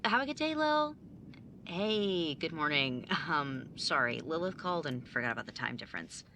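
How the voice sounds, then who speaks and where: A woman playing a bookish, high-strung young mage student in an audio drama, sarcastic and emphatic in a naturalistic way. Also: animated, normal speed, bright, forceful tone, slight background noise, exaggerated voice. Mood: awkward, hushed.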